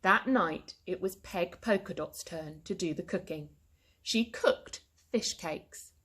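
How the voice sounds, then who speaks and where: A woman reading a children's story aloud in an expressive, deliberate way, like a primary school teacher. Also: on the low side, slightly rough. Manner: animated, deliberate.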